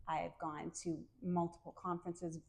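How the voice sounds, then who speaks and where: A middle-aged woman with a New England American accent, a calm and soft-spoken podcast guest chatting casually and laughing often. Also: fairly low pitch, moderate pace, creaky, a little hiss or hum. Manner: friendly, laughs often, many fillers. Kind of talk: casual interview chat.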